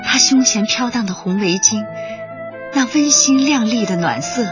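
An actress playing a young community worker who narrates a radio drama in a lyrical, admiring way. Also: female voice, fairly high pitch, animated, moderate pace, close to an everyday voice. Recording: slightly dull sound over prominent music.

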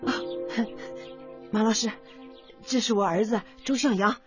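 An actress in a radio drama playing a middle-aged mother, warm, over-eager and anxious, coaxing and hesitant. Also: fairly high pitch, unhurried, dull audio, soft background music, lightly acted.

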